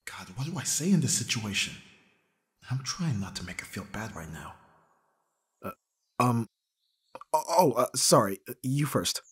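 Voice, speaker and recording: A man acting a flustered, husky young guy. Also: medium pitch, very animated, normal speed, pauses often, a bit bright, dry recording, clean studio sound, full character voice. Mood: awkward, subdued. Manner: hesitant, stammering, often dropping to whispers. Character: flustered, awkward hungover young man, listener's best friend. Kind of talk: ASMR roleplay audio drama acting.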